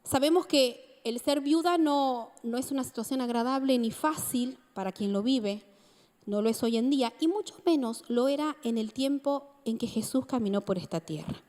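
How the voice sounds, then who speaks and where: A woman's voice: high voice, normal speed, a little mellow. A woman preacher from Argentina with an Argentine accent tinged with Mexican, giving a sermon and often asking the congregation questions. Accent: Argentine Spanish with a touch of Mexican. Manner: engaging, asks the congregation questions. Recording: reverberant hall.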